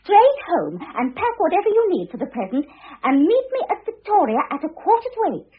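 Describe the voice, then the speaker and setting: An actress playing a weepy, scheming wife in an old radio play, cooing and pleading melodramatically. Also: female voice, very high voice, huge pitch swings, fairly quick, full character voice. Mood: sweet, cajoling. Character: weepy, scheming young wife eloping with her lover. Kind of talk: classic radio play acting. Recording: muffled, narrow-band old radio recording.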